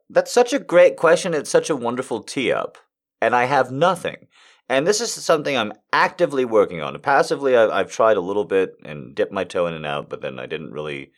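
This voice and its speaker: A man, a voice actor, answering viewer questions in a rambling, self-deprecating way. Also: mid-range voice. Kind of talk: casual chat.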